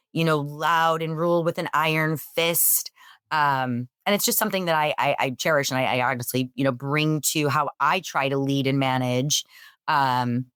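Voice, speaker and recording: A woman answering interview questions in an energetic, emphatic way; she says she has a loud voice. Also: low-pitched, smooth, no room sound, clean studio sound. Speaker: real estate executive guest.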